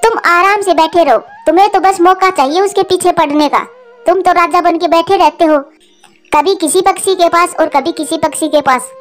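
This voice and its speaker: A woman voicing a sharp-tongued, doting mother crow who nags indignantly in a cartoon. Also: very high-pitched, normal speed, faint music behind. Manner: indignant, nagging. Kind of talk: Hindi cartoon voice acting.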